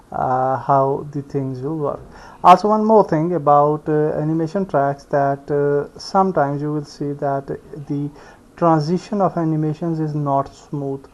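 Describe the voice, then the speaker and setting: A man giving an unscripted step-by-step software tutorial, speaking haltingly and thinking aloud as he works. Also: a little high, steady tone. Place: indoors, screen-recording voice-over. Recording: dull lo-fi voice recording.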